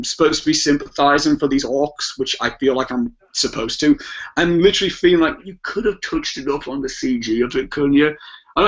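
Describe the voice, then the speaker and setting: A man's voice: on the high side, fairly bright tone. A British man giving casual opinions as a podcast panelist, often quoting his own thoughts and qualifying them. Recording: dull lo-fi podcast audio.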